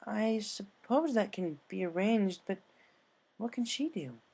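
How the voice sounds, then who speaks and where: A man's voice: high voice, animated, a little slow, creaky, a little mellow, pitched above the performer's own voice, exaggerated voice. A man voicing a sensible, studious young female unicorn pony, questioning and matter-of-fact, in a story reading. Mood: puzzled.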